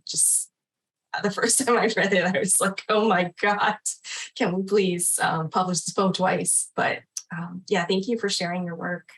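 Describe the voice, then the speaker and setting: Woman, lowish pitch, casual host, appreciative, conversational, unscripted. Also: husky, poor audio. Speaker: event host and literary editor. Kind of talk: casual unscripted remarks at an online literary event.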